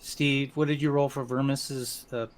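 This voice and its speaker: A man with a mid-pitched voice runs a tabletop game as its game master. He improvises his narration haltingly, often backing up to rephrase a sentence. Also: flat delivery.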